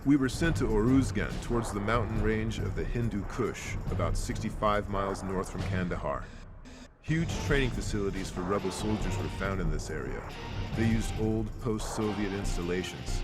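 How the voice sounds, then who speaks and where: An actor playing a sober, grave Marine who recounts his war missions in measured game cutscene narration. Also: lowish voice, close to an everyday voice. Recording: music behind the narration.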